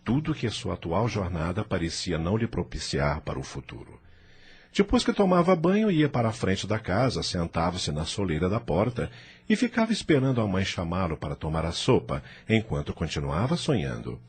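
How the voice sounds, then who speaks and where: A man's voice: low voice, wildly swooping pitch, brisk, breathy edge. A man with a Brazilian accent narrating an audio drama from a script.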